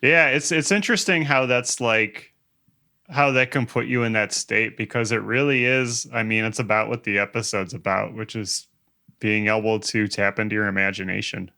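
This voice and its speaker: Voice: mid-range voice, steady tone, normal speed, creaky, sharp tone. A man co-hosting a podcast with casual, wry, joking banter. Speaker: podcast co-host.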